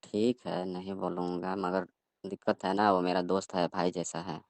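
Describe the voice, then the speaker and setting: A young man with a low voice and plenty of vocal fry talks casually on a call. He is reserved and keeps things terse. The sound is close and dry. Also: flat delivery, moderate pace, dry recording. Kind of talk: casual phone conversation.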